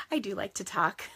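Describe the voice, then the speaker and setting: A woman's voice: medium pitch, vocal fry, pushed and bright, husky. A woman with a Minnesotan accent chatting casually while hosting a live stamping stream, her voice tired and starting to go. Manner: chatty and rambling, laughs often. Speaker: stamping crafts host. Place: home craft office.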